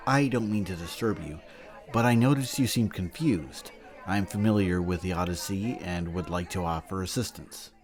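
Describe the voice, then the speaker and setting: A man acts out a polite male academic in an audio drama. His voice is low, his wording formal and courteous. A faint crowd murmurs behind him. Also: normal speed, close to an everyday voice. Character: polite, bookish male academic offering help. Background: party crowd murmur.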